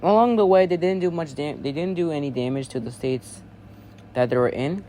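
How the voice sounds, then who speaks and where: A man's voice: on the high side, a little fast, warm tone, natural voice. A man playing a flustered, easily panicked emergency newsreader in an amateur alert parody, whose formal announcements lapse into rambling. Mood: formal, halting. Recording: noisy amateur home recording.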